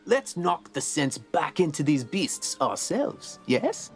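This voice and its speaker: A woman's voice: sits low, very animated, slow pace, soft background music, full character voice. A woman voicing a bossy, self-important young wizard student in a video game, with a haughty, theatrical delivery. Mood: scheming, self-important. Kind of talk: scripted video game character acting.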